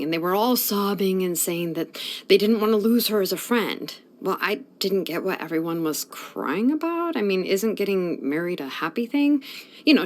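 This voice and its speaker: An actress playing a sleepy, laid-back young woman blacksmith in a fantasy video game, speaking in a casual, unhurried way. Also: on the low side, close to an everyday voice. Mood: casual, musing. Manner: unhurried, casual, hesitant drawl with sighs. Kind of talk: English video game voice acting.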